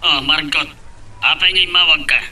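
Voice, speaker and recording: A man's voice: fairly high pitch, moderate pace, pushed and bright. A man playing a bossy employer in an Ilocano radio drama. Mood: evasive.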